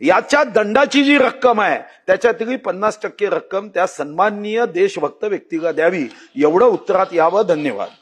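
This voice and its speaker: A man's voice: high voice, animated, normal speed, hardly any pauses, breathy edge. A man putting formal questions to a minister as a legislator in an assembly.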